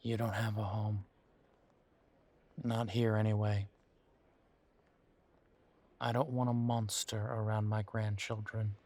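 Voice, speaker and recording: A man's voice: lowish voice, level tone, slow, long pauses, a little mellow. A man playing a bitter, wounded werewolf confiding in his partner in an intimate audio roleplay, with a sardonic, hesitant, conversational delivery. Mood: bitter, resentful.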